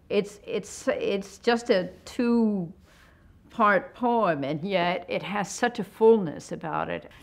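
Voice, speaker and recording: A woman in her late fifties from the United States, speaking thoughtfully and with measured care, as in a reflective interview or poetry reading. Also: fairly low pitch, gravelly. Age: fifty-eight. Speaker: painter and poetry reader.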